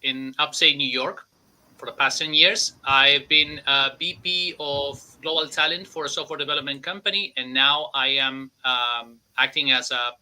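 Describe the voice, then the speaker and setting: Argentine man, HR consultant on a video panel; bright, tense tone, halting. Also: medium pitch, steady tone, rough recording. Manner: halting, restarts phrases. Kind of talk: panel introduction on a video call.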